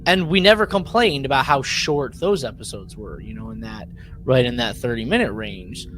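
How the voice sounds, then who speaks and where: A man co-hosting a podcast in casual chat, rambling and halting, often repeating words as he works out a point. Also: mid-range voice, animated, a bit bright, slight background noise, rough recording. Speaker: podcast co-host.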